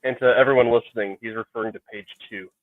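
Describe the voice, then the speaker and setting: Low monotone man on a tinny line. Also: fast, no room sound. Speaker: comic writer. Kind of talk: casual podcast chat. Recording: dry, tinny phone-like line.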